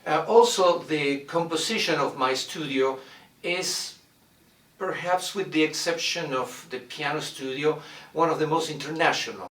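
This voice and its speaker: A middle-aged man from Argentina, a violin professor introducing his studio in a measured way, with many "uh" pauses as he searches for words. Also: a little high, fairly bright tone, recorded from a distance. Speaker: violin professor and orchestra director. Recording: roomy echo, mic set back.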